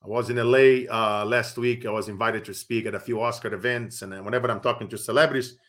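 A middle-aged man from Brazil with a Brazilian Portuguese accent, hosting a podcast and giving a persuasive, preachy pitch with many fillers. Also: steady tone, fast talker, a bit bright. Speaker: podcast host. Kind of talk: motivational podcast monologue with sponsor plugs.

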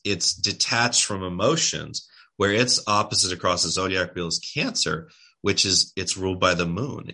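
Tired male guest, low-ish bright voice, casual rambling delivery with fillers. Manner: rambling, restarts words, many fillers. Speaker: spiritual guest speaker. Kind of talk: casual livestream talk.

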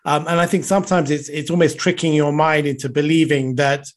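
A man hosting a podcast interview, talking in a halting way with many fillers and restarts. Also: a little high, fairly even intonation. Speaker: podcast host.

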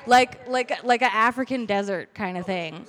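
A woman's voice: medium pitch, normal speed, fairly bright tone. A woman hosting a podcast in casual, joking chat. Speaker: podcast host.

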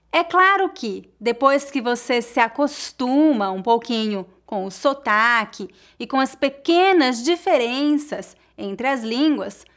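A woman, a Portuguese teacher narrating a scripted lesson slowly and clearly for learners. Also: high voice. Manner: slow, clear, deliberate delivery for learners.

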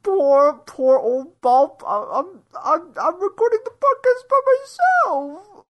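A man putting on a whimpering high mock-sob. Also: sing-song, takes it slowly, muffled recording, heavily acted. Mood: whiny pleading.

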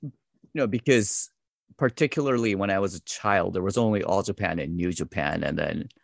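Deep, gravelly-voiced man in his forties. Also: normal speed, dry recording, studio quality. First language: English. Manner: relaxed, self-deprecating, many fillers. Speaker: interview guest, wrestling translator. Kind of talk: casual interview chat.

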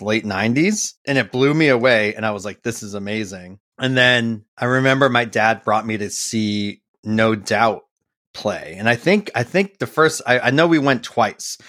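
A man's voice: mid-range voice, a bit bright, no echo, clean studio sound. A man from Massachusetts, a guest musician on a podcast, telling stories in a rambling casual way full of fillers and restarts.

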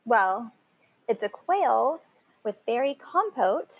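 A woman's voice: on the high side, big pitch swings, slow, phone-quality sound, background noise, exaggerated voice. A woman playing a timid, apologetic contestant on a cooking competition. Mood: meek. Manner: meek, apologetic. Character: timid, put-upon young woman contestant.

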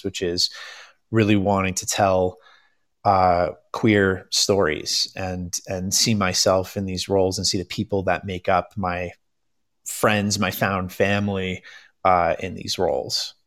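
A man speaking as a panel guest and creator, casual and reflective, candid and halting as he goes. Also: low voice, dry recording, clean studio sound.

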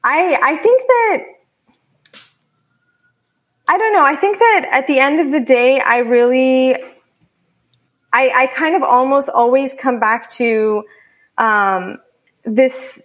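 Halting young woman, rather high voice. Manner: halting, many fillers and restarts. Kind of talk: casual discussion.

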